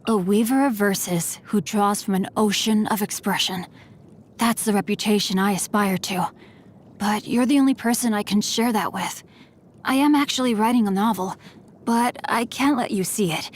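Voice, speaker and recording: A woman voicing a refined, courteous young male swordsman and scholar in a game, speaking politely and formally with a gently teasing edge. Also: medium pitch, normal speed, a little hiss or hum, lightly acted. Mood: polite, composed. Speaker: game character voice lines. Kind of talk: scripted game voice lines.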